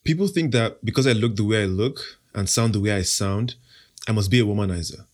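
Confident, playful Nigerian man, low voice. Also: fairly quick. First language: Igbo. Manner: confident, playful, self-assured. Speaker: podcast host, interview guest. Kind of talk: casual interview answers.

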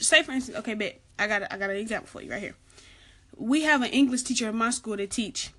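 A young woman gives casual advice in a chatty vlog. She is soft-spoken, has a light, girlish voice and keeps repeating her warnings. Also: fairly high pitch. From Arkansas, United States. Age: late teens. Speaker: vlogger giving school advice.

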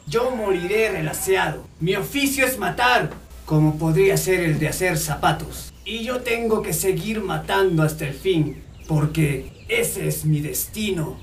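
A man playing a proud, cold village hired killer in a radio drama, deliberate and boastful. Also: high-pitched, vocal fry, slight background noise, full character voice. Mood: defiant, fatalistic.

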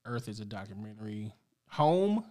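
A man's voice: fairly low pitch, sing-song, moderate pace, warm tone. A man hosting a casual podcast chat, reading through film titles and laughing often. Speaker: podcast host.

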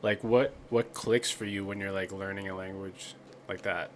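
A man's voice: deep voice, fairly even intonation, moderate pace, a little hiss or hum. A young man co-hosting a casual podcast, rambling with many "like" fillers as he tells stories. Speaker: podcast co-host.

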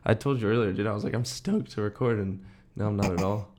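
A man's voice: lowish voice, quick pace, darkish tone. A young American man co-hosting a podcast, chatting casually in a laid-back, slangy way. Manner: laid-back, slangy talk peppered with dude and bro. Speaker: podcast co-host. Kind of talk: casual chat, personal anecdotes.

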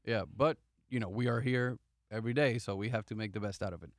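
A man's voice: on the low side, fast talker, no echo, studio quality. A man chatting casually in a group, rambling with lots of fillers as he explains. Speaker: video creator.

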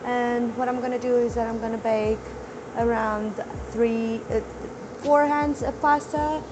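A young woman with a self-described squeaky voice chatting casually in her home kitchen while cooking, rambling with fillers and restarts and trying to talk slowly. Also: fairly even intonation, highs cut off, background noise. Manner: deliberately talking slowly. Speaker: cooking vlogger.

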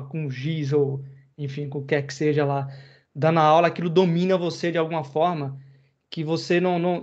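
Casual man chatting, lo-fi sound. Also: on the high side. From Bahia, Brazil. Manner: relaxed, rambling, slangy, laughs easily. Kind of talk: casual chat. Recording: dull lo-fi sound.

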